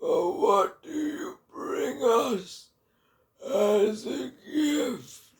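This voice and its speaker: A man's voice: very high-pitched, lively intonation, very slow, voice pushed up, full character voice. A man voicing a pious, ecstatic old hermit saint in a theatrical dramatic reading. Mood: fervent praise. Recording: roomy echo.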